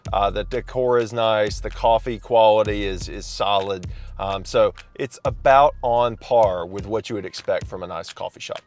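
A man in his thirties talks loudly and chattily into a phone held at arm's length. He sits in a parked car and gives an upbeat, casual vlog review in a mid-pitched voice. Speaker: coffee shop reviewer. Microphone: phone camera at arm's length.

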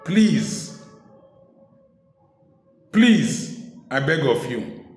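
A middle-aged man from Africa preaching to the camera in an emphatic way, repeating key words and adding sharp humor. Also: medium pitch, very animated, extremely slow, roomy sound, faint music behind. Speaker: preacher and speaker. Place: indoor room at home, seated in office chair.

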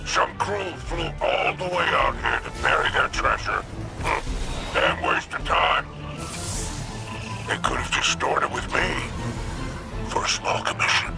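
Gravelly, greedy alien crime boss. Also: male voice, mid-range voice, huge pitch swings, a little slow, long pauses, exaggerated voice, poor audio. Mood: grumbling, mercenary. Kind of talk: scripted game character dialogue. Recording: music under the voice.

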